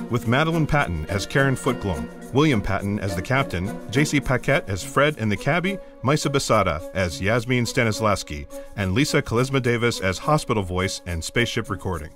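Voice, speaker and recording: Male credits announcer, slightly deep, scripted delivery over a music bed.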